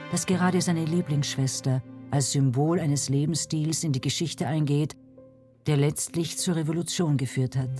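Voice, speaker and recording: A documentary narrator reading a scripted history narration. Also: mid-range voice. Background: music.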